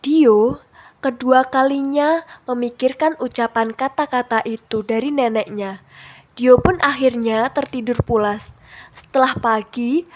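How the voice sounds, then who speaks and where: High-voiced young woman telling a slow story. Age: young adult. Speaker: student storyteller. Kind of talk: children's storytelling, scripted narration.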